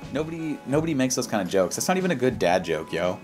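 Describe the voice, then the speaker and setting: A man's voice: medium pitch, very animated, quick pace, darkish tone. An American man doing casual reaction commentary, reading aloud and responding in a slangy, mocking, dismissive way. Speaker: YouTube commentary host. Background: background music.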